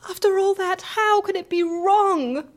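An actress playing a long-suffering, sceptical assistant in an audio comedy, with a dry, sarcastic manner. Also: female voice, very high voice, measured pace. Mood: incredulous. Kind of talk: audio fiction comedy acting.

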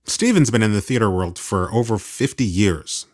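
A man's voice: on the low side, expressive, normal speed, no room sound, studio quality. A man hosting and narrating a documentary in scripted narration. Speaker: host and narrator.

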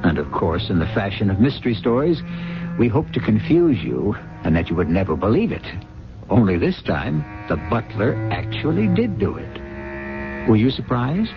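A man's voice: fairly low pitch, very animated, normal speed. A man narrating as the host of a radio mystery drama, in a theatrical, wry, scripted style. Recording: muffled vintage radio with loud music. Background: music.

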